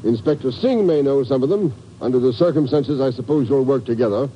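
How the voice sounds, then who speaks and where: An actor in a 1950s radio drama playing an earnest, worried ship's captain, frank and anxious in manner. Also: male voice, mid-range voice, fairly quick, natural voice. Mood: businesslike. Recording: muffled, faintly noisy old radio recording.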